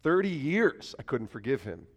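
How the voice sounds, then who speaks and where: A man in his forties, originally from upstate New York, preaching a church sermon in a raspy, cold-roughened voice and joking with the congregation. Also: big pitch swings, moderate pace. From Albany, New York. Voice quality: raspy, deeper than usual. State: hoarse from a cold. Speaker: guest preacher. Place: church sanctuary.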